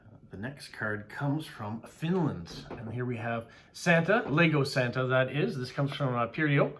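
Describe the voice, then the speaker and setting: A man's voice: mid-range voice, lively intonation, measured pace, a bit bright, slight background noise. A middle-aged Canadian man, a mail carrier, chatting casually as he unboxes postcards, thanking each sender with many "uh" fillers.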